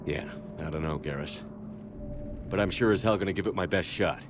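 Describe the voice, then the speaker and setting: An actor playing a resolute human military commander in a video game, speaking in a firm, measured way. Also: male voice, sits low, noisy background, lightly acted. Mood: determined. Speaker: player character military commander.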